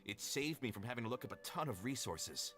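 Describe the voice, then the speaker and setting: Animated male scholar played by a man, helpful, articulate, explanatory, medium pitch, fantasy game dialogue, faint music. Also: a little fast, close to an everyday voice. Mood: eager, pleased. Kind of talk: video game character dialogue.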